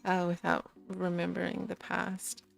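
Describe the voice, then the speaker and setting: A tired woman with a slightly raspy low voice, chatting in a sleepy, giggly, rambling way as a streamer on a late-night stream. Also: completely flat delivery, measured pace, soft background music. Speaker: VTuber streamer.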